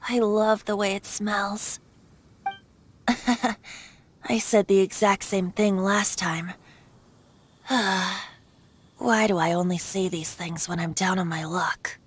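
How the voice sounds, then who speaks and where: A woman playing an ageless wind god in the guise of a young male bard in a video game, light and boyish, wistful and gently wry. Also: medium pitch, steady tone, takes it slowly, long pauses, breathy edge.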